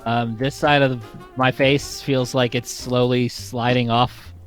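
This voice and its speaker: A man's voice: mid-range voice, level tone, slow pace, soft background music. A young man chatting casually and informally. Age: young adult. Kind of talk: casual chat.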